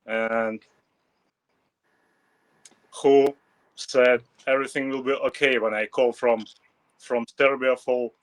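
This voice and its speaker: A young man from Russia talks in English with a clear Russian accent, unhurried and halting. He pauses often and searches for words as a guest on a casual podcast. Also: mid-range voice, a bit bright. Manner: halting non-native English with pauses and fillers. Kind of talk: casual interview.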